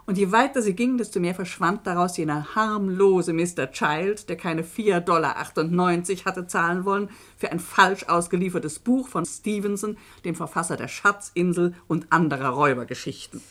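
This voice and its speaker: An actress playing an assured doctor who narrates a case report in a dry, articulate, measured way in a German radio play. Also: female voice, fairly low pitch, a bit bright.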